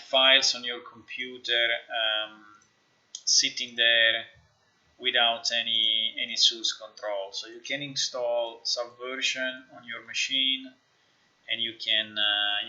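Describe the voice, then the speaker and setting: A man live-streaming as a game developer, chatting casually and haltingly as he thinks aloud while demonstrating software. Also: mid-range voice, highs cut off.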